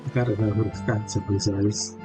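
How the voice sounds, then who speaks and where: A man's voice: fairly low pitch, level tone, quick pace. A man casually commenting while playing a game, with offhand, mumbly asides, on a built-in mic. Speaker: gamer. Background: game music.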